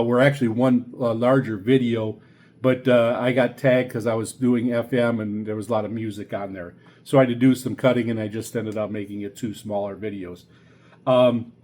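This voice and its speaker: Halting middle-aged man reviewing gear casually. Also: mid-range voice, darkish tone. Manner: halting, rambling, hesitant delivery. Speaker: radio hobbyist video host. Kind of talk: casual product review talk. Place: small home radio room, indoors.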